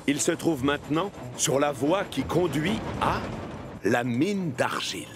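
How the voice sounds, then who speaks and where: A man's voice: on the high side, animated, measured pace, over music. A man narrating a children's cartoon story in a scripted storytelling style.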